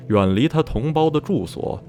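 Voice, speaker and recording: A man playing an uneasy survivor of a sinister town, recounting dreadful memories in measured, literary Mandarin for a radio drama. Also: fairly high pitch, animated, dark tone. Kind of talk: radio drama acting, narrating in interview. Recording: music behind the voice.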